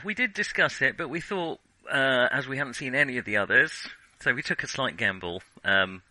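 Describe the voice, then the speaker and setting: Bright-voiced man in halting film chat. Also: medium pitch, no room sound, low-quality recording. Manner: hesitant, self-correcting, searching for words. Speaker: podcast co-host. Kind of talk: casual film discussion.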